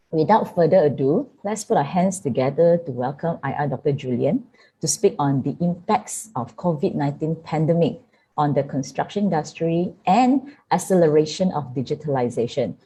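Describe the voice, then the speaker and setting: Low, mellow woman hosting. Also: slightly rough, rough recording. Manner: many fillers like "okay" and "right". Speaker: host. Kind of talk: introducing a speaker at an online seminar. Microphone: video call.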